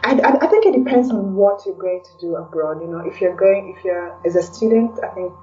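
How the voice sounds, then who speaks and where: A woman's voice: medium pitch, lively intonation, brisk, dark tone, a little hiss or hum. A woman being interviewed as a podcast guest, talking casually and hesitantly, thinking aloud with frequent "you know" tags. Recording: dull, lo-fi, distant from the mic.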